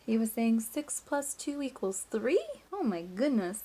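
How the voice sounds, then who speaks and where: Lady teacher doing a kids read aloud, kinda high voice, really stresses and stretches words. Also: breathy edge. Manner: expressive, storytelling emphasis with drawn-out words and hushed asides. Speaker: teacher reading aloud to pupils. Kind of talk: picture-book read-aloud for children.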